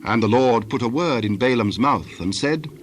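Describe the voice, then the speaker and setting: Male narrator for audio Bible: medium pitch, scripted storytelling, faint ambient background. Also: lively intonation, normal speed, a little hiss or hum.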